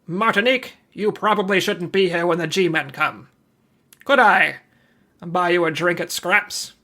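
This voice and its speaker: An actor playing a weary, wry and decisive hardboiled investigator in an old-time radio drama. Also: male voice, sits high, unhurried, bright, forceful tone, full character voice. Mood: wry, smooth. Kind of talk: old-time radio detective drama, first-person report narration.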